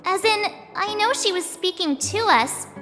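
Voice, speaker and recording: A woman's voice: very high voice, animated, a little slow, sharp tone, big-room sound, soft background music, heavily acted. An actress playing a bubbly, cheeky teenage adventurer girl in a video game, with a breezy, slangy, dramatic delivery. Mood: breezy, defensive. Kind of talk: English video game voice acting.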